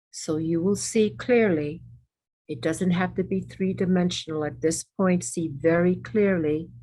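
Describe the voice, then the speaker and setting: A woman leading a guided meditation with ASMR elements, speaking reverently in short, measured phrases with pauses between them. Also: lowish voice, a little mellow, rough recording.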